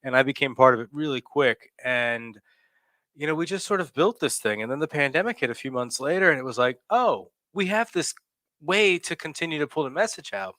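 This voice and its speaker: A man's voice: on the high side, expressive, brisk, pushed and bright, no room sound. A man in his forties hosting a podcast, chatting casually, rambling and correcting himself, laughing often. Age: 40s. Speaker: podcast host. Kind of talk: casual chat.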